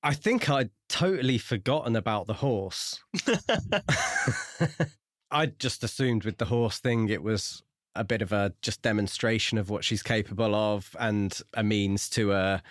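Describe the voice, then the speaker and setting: A man's voice: fairly low pitch, unhurried, no room sound, clean studio sound. A man co-hosting a book podcast, talking through stories in a casual discussion style. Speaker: podcast co-host.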